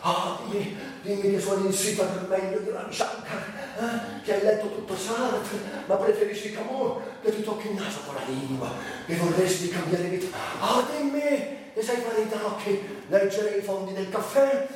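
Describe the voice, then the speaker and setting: A man's voice: high voice, normal speed, few pauses. A man performing a theatrical literary monologue for radio, brooding, in broken phrases with dramatic swings. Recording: very echoey room, distant, faint noise.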